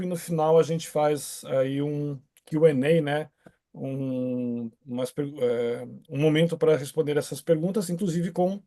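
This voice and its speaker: A man with a slightly high, mellow voice opens an online event over a video call. He hesitates often, trailing into fillers and restarting his phrases. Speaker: event moderator. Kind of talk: opening remarks at an online event.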